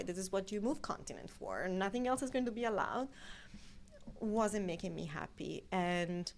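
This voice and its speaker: A woman's voice: medium pitch, slight background noise. A woman in her thirties from Italy with an Italian accent, chatting as an interview guest and prone to long tangents. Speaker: guest scientific editor. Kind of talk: conversational interview storytelling.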